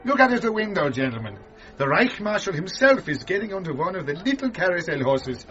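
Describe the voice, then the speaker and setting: Lilting, suave official. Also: male voice, sits high, very animated, moderate pace, bright, forceful tone, lo-fi sound, soft background music, full character voice. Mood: genial, confiding. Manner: courtly, elaborately polite. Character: suave, apologetic German male official, oily host. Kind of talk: radio drama acting.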